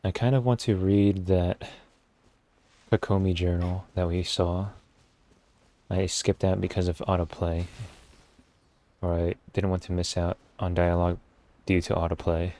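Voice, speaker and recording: A male streamer chats casually over his game. His voice is very low, dark and mellow, and his delivery stays low-key. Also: flat delivery, measured pace, pauses often, dry recording. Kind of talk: casual live gameplay commentary.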